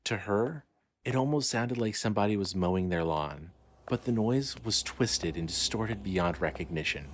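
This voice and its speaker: Male narrator for horror fiction: fairly low voice, scripted storytelling, faint ambience underneath. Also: slight background noise.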